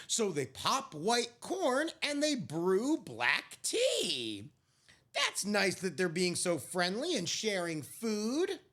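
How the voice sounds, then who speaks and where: A woman reading a picture book aloud to young children, expressively, with drawn-out dramatic phrasing and friendly asides. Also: medium pitch, huge pitch swings, fairly bright tone. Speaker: children's storyteller.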